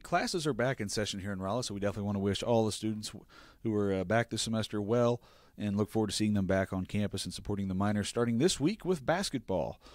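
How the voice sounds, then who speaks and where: A young man hosting a college sports show, giving an upbeat, brisk recap of games and interviewing a guest. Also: on the low side, a little mellow, no echo, studio quality. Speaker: podcast host.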